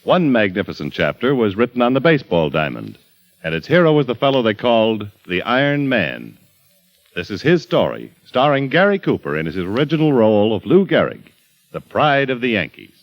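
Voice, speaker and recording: A man's voice: mid-range voice, big pitch swings, a little fast, lo-fi sound. A man announcing a 1940s radio drama in a formal, grand delivery.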